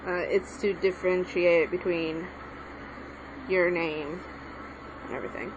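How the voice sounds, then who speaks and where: A woman's voice: fairly low pitch, steady tone, unhurried. Young woman tutor, rambling and unsure, recorded on a muffled home mic. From Mexican background. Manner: rambling, self-correcting, hesitant. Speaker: language tutorial maker. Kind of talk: casual spoken lesson while writing. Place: home room with a TV on. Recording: lo-fi home recording with background noise.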